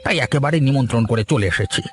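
A man's voice: medium pitch, fast talker, crowd noise, close to an everyday voice. Chatty older village husband played by a man. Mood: genial, chatty. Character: genial older village husband and father-in-law. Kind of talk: cartoon story dialogue.

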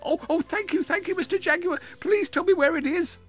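A man's voice: sits high, very animated, a little fast, fairly bright tone, faint music behind, pitched above the performer's own voice. A man voicing a small young hedgehog in a children's story, polite, anxious and eager, with pleading little stammers. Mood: joyful.

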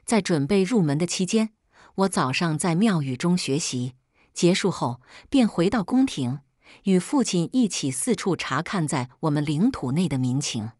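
A woman narrating a first-person book from a script in a measured, reflective manner. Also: fairly low pitch, animated, darkish tone, dry recording, studio quality. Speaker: audiobook narrator.

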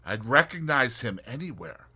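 A man reading an audiobook, voicing a brisk and determined young woman detective with emphatic, crisp speech. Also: mid-range voice, very animated, bright, forceful tone, exaggerated voice.

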